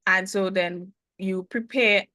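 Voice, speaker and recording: A woman guest, a business consultant, speaking reflectively in a casual interview with frequent hesitant pauses. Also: mid-range voice, steady tone, bright, forceful tone, dry recording. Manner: thoughtful, hesitant, many "um" pauses.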